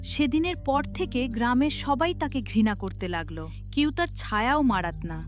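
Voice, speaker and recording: A woman's voice: a little high, animated, normal speed. A woman narrator reading a scripted story in a dramatic storytelling style.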